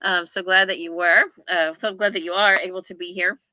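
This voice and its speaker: Bright-voiced woman hosting a chat. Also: on the low side, flat delivery, brisk, dry recording, highs cut off. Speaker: host of a book chat livestream. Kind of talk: casual chat, reading viewer comments. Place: indoors on a group video call.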